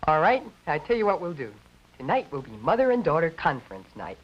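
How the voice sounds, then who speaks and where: A middle-aged woman playing a warm, attentive American mother in a 1950s educational film, homely and encouraging. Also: deep voice, sing-song, brisk. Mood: tender, encouraging. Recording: dull, faintly noisy 1950s film soundtrack.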